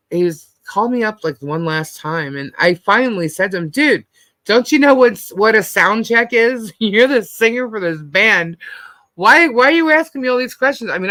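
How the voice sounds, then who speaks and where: A middle-aged American woman with a husky, deep-set voice that still cuts through brightly. She chats casually and bluntly, acting out bits of dialogue as she rambles through anecdotes. The sound is close and dry. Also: no echo. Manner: blunt, slangy, animatedly quotes herself and others. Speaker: host of a live panel stream.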